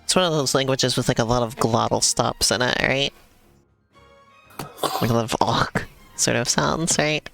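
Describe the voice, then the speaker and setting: A man's voice: mid-range voice, moderate pace, vocal fry, long pauses, faint music behind. A man co-streaming a video game, chatting in an easygoing way and asking curious questions. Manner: relaxed, easygoing, asks questions. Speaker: streamer co-host. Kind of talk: casual chat while gaming.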